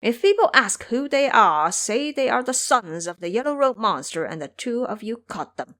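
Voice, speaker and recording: A woman's voice: on the high side, sing-song, normal speed, a bit bright. A woman voicing a bossy, brash male monkey hero in an audio drama, with a commanding manner.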